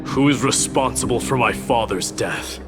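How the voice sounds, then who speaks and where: An actor playing a grave, defiant young warrior prince in a video game, speaking in a measured, formal way. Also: male voice, medium pitch, lightly acted. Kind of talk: dramatic video game voice acting. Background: loud music.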